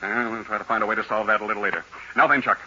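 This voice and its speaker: An actor playing a steady, decisive American aviator leader in a radio serial, urgent and businesslike. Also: male voice, lowish voice, racing. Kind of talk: 1940s radio acting. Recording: muffled old radio recording.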